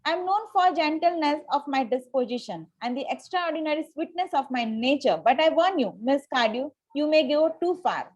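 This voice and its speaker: High-pitched voice, woman as indignant young lady of fashion, precise, imperious, table-read comedy. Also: expressive, moderate pace, few pauses, lo-fi sound.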